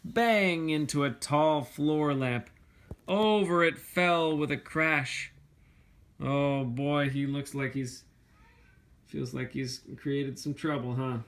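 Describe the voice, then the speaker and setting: A man reading a storybook aloud to a young child, expressively, pausing to chat and ask questions about the pictures. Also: a little high, fairly bright tone. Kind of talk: storybook read-aloud with chatty asides to a child.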